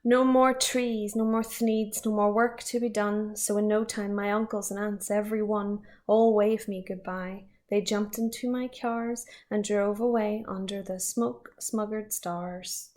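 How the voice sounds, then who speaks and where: A woman teacher reading a picture book aloud to children, lively and expressive. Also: mid-range voice, a little slow, few pauses. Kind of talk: storybook read-aloud.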